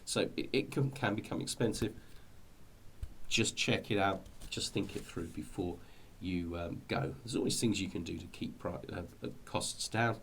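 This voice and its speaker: A man's voice: deep voice, normal speed, creaky, a little hiss or hum. A middle-aged British man talking informally to viewers as he explains things, in a hesitant, rambling way with repeated words. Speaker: hobby video host.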